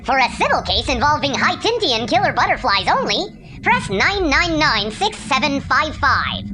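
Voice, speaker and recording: Actor as phone menu robot, high voice, officious, methodical recitation, parody hotline, music bed. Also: very animated, moderate pace. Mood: flat officious.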